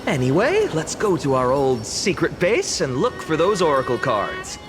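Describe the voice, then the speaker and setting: A woman playing a playful, excitable young villager with boyish spirit in a fantasy game. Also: sits low, moderate pace, over music. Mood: playful musing. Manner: casual, exclamatory. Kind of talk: animated game dialogue.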